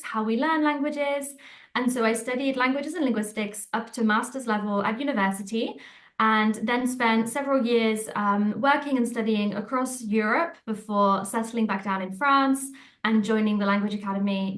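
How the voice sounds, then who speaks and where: A woman's voice: a little high, fairly bright tone, echoey room. A woman from England, introducing herself as a language school's director of studies in a friendly, fluent way. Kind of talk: self-introduction in a presentation.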